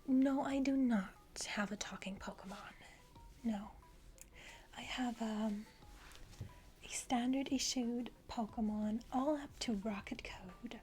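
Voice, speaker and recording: A woman's voice: on the high side, slow pace, a little mellow. A woman playing a self-important young criminal henchwoman in an ASMR roleplay, whispering breathily and hesitantly close to the microphone. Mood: confiding, dismissive. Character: boastful, eager young female criminal henchwoman.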